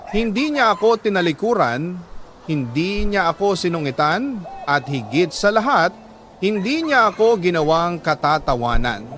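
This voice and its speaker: A man with a Filipino accent reads a scripted, dramatic first-person story in an earnest, sentimental manner with deliberate phrasing. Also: a little high, fairly bright tone. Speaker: storyteller reading a letter.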